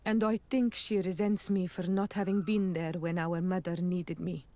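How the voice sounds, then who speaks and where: A woman's voice: on the low side, little pitch movement, normal speed, mellow, natural voice. An actress playing a grieving, earnest villager woman in a video game. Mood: sorrowful. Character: grieving, earnest native woman, grateful friend. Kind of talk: video game cutscene acting.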